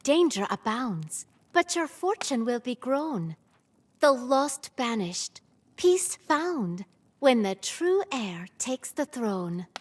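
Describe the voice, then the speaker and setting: A high-voiced female oracle in a fantasy game, chanting verse with wide melodic swings. She is solemn and incantatory, reciting like an old prophecy unfolding. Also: sing-song, slow, heavily acted. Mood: solemn, mystical. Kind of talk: slow theatrical verse recitation.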